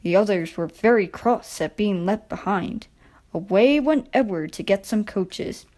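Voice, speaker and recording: A woman's voice: medium pitch, expressive, measured pace, darkish tone. A woman reading a children's story as a scripted storybook narration.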